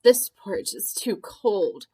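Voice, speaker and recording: A woman's voice: medium pitch, very animated, takes it slowly, dark tone. Woman as picky little girl, bold, fussy, exclamatory, children's storybook. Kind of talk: children's storybook read-aloud.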